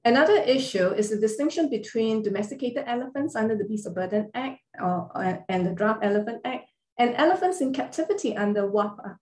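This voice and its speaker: Measured woman lecturing over a video call. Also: fairly high pitch, animated, darkish tone. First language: not Thai. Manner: measured, careful legal explanation with fillers. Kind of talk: formal online lecture over slides. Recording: roomy echo on a video call.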